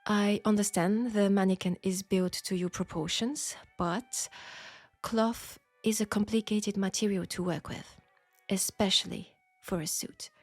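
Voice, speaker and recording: A woman playing a candid, proud French seamstress in a steampunk audio drama, speaking with a French accent in a formal, deliberate way. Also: mid-range voice, fairly even intonation, dry recording, soft background music. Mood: polite, businesslike. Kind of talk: intimate scripted audio roleplay.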